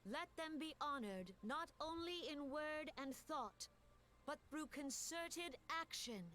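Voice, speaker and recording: Solemn forest leader. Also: female voice, sits high, pushed and bright, slight background noise, exaggerated voice. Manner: formal, measured oratory. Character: stately female forest nation leader, solemn. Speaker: leader addressing a memorial gathering.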